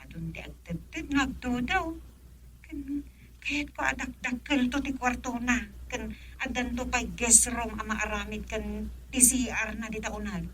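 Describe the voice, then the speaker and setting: An actress playing an assertive wife who works abroad in a radio drama, speaking with an Ilocano accent. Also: female voice, a little high, a little slow, fairly bright tone, poor audio. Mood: eager, insistent. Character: assertive wife working abroad, eager to spend.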